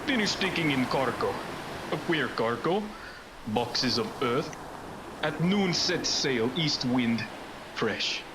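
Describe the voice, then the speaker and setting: A grave ship's captain in a radio play, giving log entries slowly in clipped, solemn phrases. His voice sits at a middle pitch, with loud surf and ship noise around it. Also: animated. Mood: solemn, terse. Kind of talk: radio drama acting, solemn terse log narration. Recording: noisy surf and ship ambience behind.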